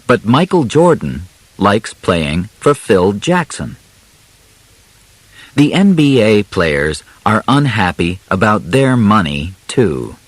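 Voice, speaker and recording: Low-pitched, slow-paced male narrator. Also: big pitch swings, no room sound, low-quality recording. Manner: measured, simple short phrases with clear pauses. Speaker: audiobook narrator. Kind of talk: scripted narration of a simplified biography for learners.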